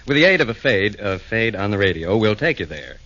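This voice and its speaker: Man, rhyming theatrical narration, lowish voice, muffled old radio sound. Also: sing-song, fairly quick, bright, forceful tone. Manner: theatrical, sing-song rhyming delivery. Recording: dull old radio broadcast.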